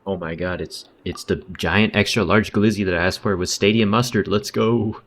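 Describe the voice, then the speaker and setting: A man's voice: fairly low pitch, fairly even intonation, moderate pace, a crowd in the background. A man giving casual, slangy, wry running commentary on a game stream. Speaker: livestreamer.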